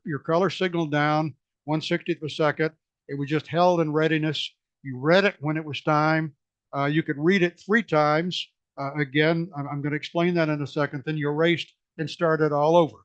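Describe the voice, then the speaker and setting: A man presenting a technical slide talk at a museum society meeting, hoarse and losing his voice, halting with many fillers and restarts. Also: on the high side, no room sound, highs cut off. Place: meeting room.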